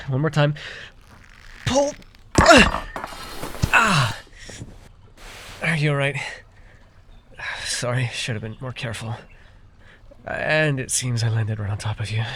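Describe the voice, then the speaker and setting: A man's voice: medium pitch, lively intonation, slow pace, pauses often, pushed and bright, a little hiss or hum. A man playing an easily flustered, teasing but caring fae forest guide in a fantasy roleplay, with exasperated banter. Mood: flustered, bossy. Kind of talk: immersive fantasy roleplay ASMR acting.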